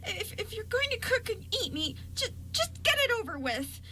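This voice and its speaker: A woman's voice: sing-song, moderate pace, pushed and bright, heavily acted. A woman playing a tiny, timid female fairy in an amateur radio play, with a small voice and a stammering, tearful delivery. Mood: terrified, pleading. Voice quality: small, high-pitched voice.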